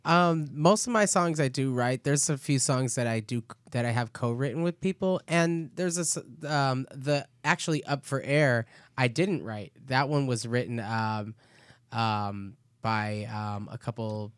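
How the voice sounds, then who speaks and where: A man, a singer and guest on a talk show, answering slowly and hesitantly with many pauses. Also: medium pitch, smooth, no room sound, studio quality. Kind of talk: casual interview.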